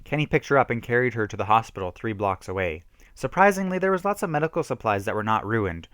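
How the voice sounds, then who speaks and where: A man's voice: fairly low pitch, animated, fast talker, fairly bright tone, clear, resonant tone, no echo, studio quality. A man giving an emphatic, theatrical reading of a horror story, stumbling now and then. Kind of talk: dramatic reading of a self-written horror story.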